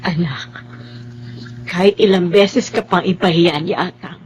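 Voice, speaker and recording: A woman playing a patient, forgiving middle-aged mother in a radio drama, sorrowful and gently pleading. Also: fairly low pitch, measured pace, slight background noise, close to an everyday voice. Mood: sad, gentle.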